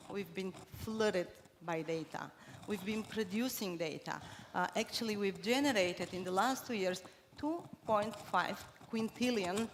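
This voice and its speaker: Bulgarian woman giving a conference talk in english, lots of uhs and restarts, big echoey hall. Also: mid-range voice. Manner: hesitant, many fillers and restarts. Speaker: conference speaker. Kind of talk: conference presentation. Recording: reverberant hall, faint noise.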